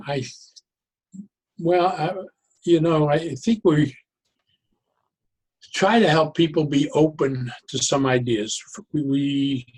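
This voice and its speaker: Slow, husky-voiced man explaining ideas. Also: mid-range voice, rough recording. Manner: halting, deliberate phrasing with pauses. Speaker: interview guest, therapeutic humor expert. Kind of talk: conversational interview, explaining.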